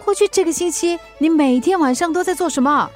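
A woman acting a strict, exasperated mother in a Mandarin radio drama, scolding and quick to anger. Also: extremely high pitch, soft background music. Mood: accusing, angry. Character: strict, exasperated working mother of a teenage girl.

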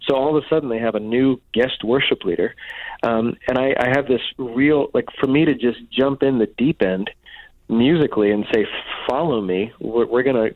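A dull, narrow-band, dry recording of a man speaking as an interview guest at a middle pitch. He has a slight head cold and talks casually and thoughtfully, stopping to correct himself. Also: normal speed, no room sound. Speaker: guest, touring worship musician. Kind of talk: casual reflective interview talk.

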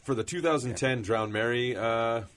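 A man's voice: mid-range voice, normal speed, a bit bright. A man in a band, a guest on a radio show, plainly filling in details of the band's history. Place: radio studio.

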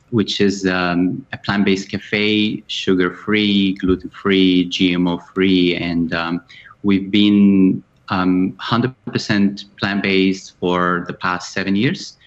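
A man who founded a cafe, speaking as a radio guest in a measured way with many fillers. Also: sits low, a bit bright, muffled recording. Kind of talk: radio interview.

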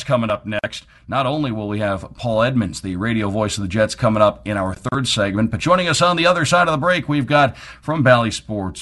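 A man's voice: fairly low pitch, fairly bright tone. A man hosting a sports radio show in an upbeat talk style.

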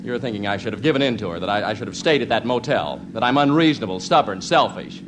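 Actor as flustered radio-comedy husband, noisy vintage recording. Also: male voice, lowish voice, animated, fast talker, lightly acted. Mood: flustered, self-reproachful. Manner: flustered, stammering. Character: stubborn, flustered husband and father arguing with his conscience. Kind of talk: 1950s radio comedy acting.

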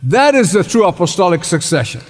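A man from Egypt preaching a sermon, emphatic, repeating key phrases and slowing down to stress words. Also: high-pitched, very animated, faint music behind. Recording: long reverb of a large hall.

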